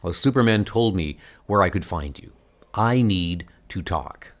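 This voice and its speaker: A man's voice: low voice, expressive, unhurried, pitched below the performer's own voice. A man playing a determined, anguished superhero in a comic book dub, earnest and insistent. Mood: urgent. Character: determined, anguished male superhero searching for his niece.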